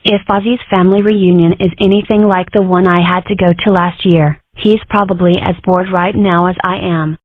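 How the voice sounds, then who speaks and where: A woman's voice: on the low side, steady tone, moderate pace, few pauses, no room sound, close to an everyday voice. A woman voicing a mischievous young fox girl in a fan comic dub, speaking in a sarcastic way. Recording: dry, phone-like lo-fi sound.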